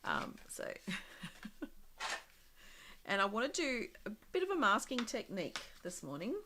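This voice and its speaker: A woman with a slightly croaky voice from a cold, casually demonstrating a craft project live and thinking aloud as she works. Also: fairly high pitch, very animated, a little slow, background noise. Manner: chatty, thinking aloud with many ums and small laughs.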